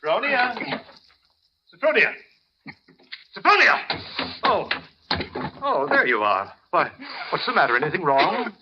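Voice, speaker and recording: An actor playing a creepy, falsely solicitous middle-aged hotel keeper in a 1940s radio suspense play, folksy and menacingly kind. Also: male voice, sits high, sing-song, measured pace, pauses often. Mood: calling, solicitous. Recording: muffled, noisy 1940s radio recording.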